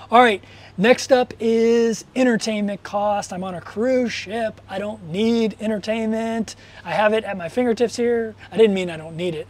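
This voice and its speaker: Chatty male vlogger, forties, high voice, upbeat and explanatory, lapel mic outdoors. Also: darkish tone, slightly rough. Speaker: travel vlogger. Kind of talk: casual talking-head vlog. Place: outdoors on a cruise ship balcony by the sea. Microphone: clip-on lapel mic.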